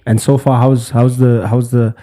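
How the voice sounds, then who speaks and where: A man's voice: mid-range voice, level tone, normal speed, mellow. A man hosting a podcast in a studio, chatty and teasing, with frequent fillers as he asks questions. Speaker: podcast host. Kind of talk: casual interview.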